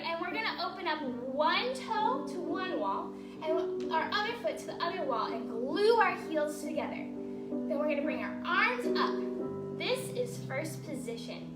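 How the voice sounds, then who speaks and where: A woman teaching a ballet class to children, giving simple step-by-step instructions and frequent encouragement. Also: high voice. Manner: encouraging, praising often, simple child-directed explanations. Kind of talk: instructional ballet class for kids, counting out steps. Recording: roomy echo, music in the background.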